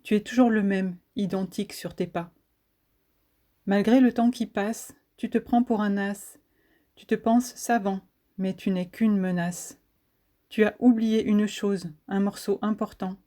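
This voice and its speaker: A woman reciting spoken-word poetry in a solemn, declamatory manner, phrasing each line deliberately. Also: mid-range voice, steady tone, mellow. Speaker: poet reciting own poem.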